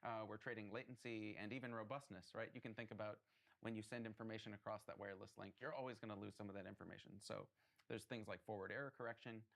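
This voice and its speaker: Gravelly low-voiced man explaining tech. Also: fairly even intonation, quick pace, fairly bright tone. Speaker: hardware developer interviewed. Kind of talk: technical interview answers.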